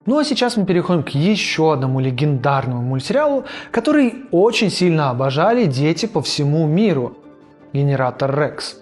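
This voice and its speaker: A man's voice: fairly high pitch, big pitch swings, fairly quick. A man hosting a video with scripted narration and informal, slangy asides. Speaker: video host. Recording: faint music under voice-over.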